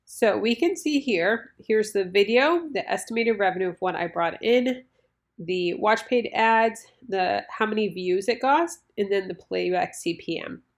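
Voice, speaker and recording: A woman with a slightly raspy, nasal, congested voice from flu, talking casually and explaining things as an online video creator. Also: medium pitch, lively intonation, measured pace. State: sick with flu and bronchitis. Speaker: YouTuber reviewing her online business income. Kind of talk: casual explanatory vlog talk.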